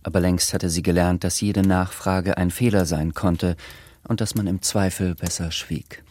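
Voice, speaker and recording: A man narrating a literary radio drama as a composed, measured storyteller. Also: low-pitched, fairly even intonation, a little mellow.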